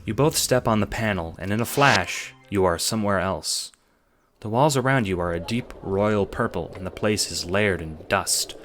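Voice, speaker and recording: A man's voice: fairly low pitch, moderate pace, a bit bright. A man reading scripted second-person narration for an audio drama.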